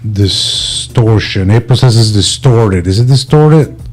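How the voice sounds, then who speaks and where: A man's voice: fairly low pitch, expressive, a little slow, darkish tone, soft background music. A man hosting a livestream, chatting casually and informally with his viewers as if they were buddies. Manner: informal, laid-back, addresses viewers as buddies. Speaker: livestream host.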